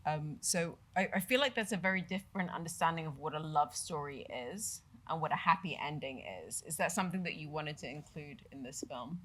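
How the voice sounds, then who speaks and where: A woman's voice: sits low, brisk, hardly any pauses, fairly bright tone, slightly rough. A woman from Sudan asking a film director questions casually, with many fillers. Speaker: interviewer. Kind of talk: interview questions.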